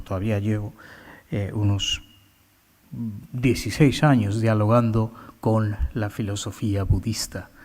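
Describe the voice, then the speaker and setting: Male poet, low-pitched, unhurried and halting, recorded with long hall reverb. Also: darkish tone. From India. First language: Bengali. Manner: slow, halting, many filler sounds. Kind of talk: poetry reading with commentary.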